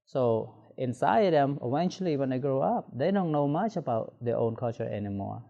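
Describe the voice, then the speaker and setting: A man speaking in a measured, explanatory way, adding "you know". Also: mid-range voice, lively intonation, vocal fry. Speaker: interviewee. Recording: dull lo-fi sound.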